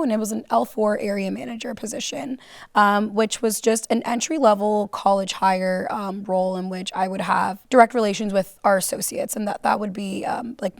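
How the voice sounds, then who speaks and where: A woman's voice: medium pitch, gravelly, no room sound, clean studio sound. Talkative woman from Florida. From Florida, United States. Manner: talkative, storytelling with fillers. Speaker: panel guest, operations manager. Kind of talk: conversational stage panel. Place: conference stage.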